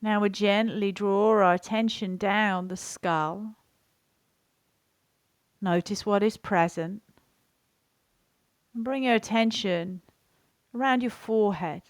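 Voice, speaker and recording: A woman teaching guided relaxation in a gentle, soothing, unhurried manner, leaving pauses between phrases. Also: mid-range voice, no room sound. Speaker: yoga and meditation teacher. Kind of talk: guided relaxation and body scan instruction.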